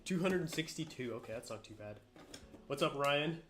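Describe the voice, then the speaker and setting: A man hosting a casual live stream while sick, a bit nasal with frequent throat clearing, greeting viewers by name in a relaxed way. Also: fairly high pitch, brisk, background noise.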